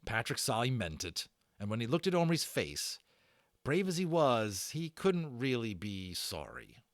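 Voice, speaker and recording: A male storyteller narrates a children's book dramatically. His mid-pitched voice moves expressively up and down, and the sound is close and dry. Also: sing-song, measured pace, no room sound.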